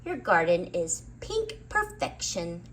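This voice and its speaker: A woman's voice: lowish voice, wildly swooping pitch, slow, a little hiss or hum, heavily acted. A woman voicing a tiny, sweet flower fairy in a children's storybook, speaking graciously and with delight. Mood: pleased, gracious.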